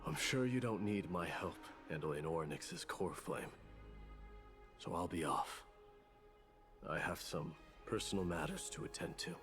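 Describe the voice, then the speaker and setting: A man's voice: low voice, unhurried, fairly bright tone, soft background music. An actor playing a proud, stern young male warrior prince who declaims battle calls in a game. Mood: curt.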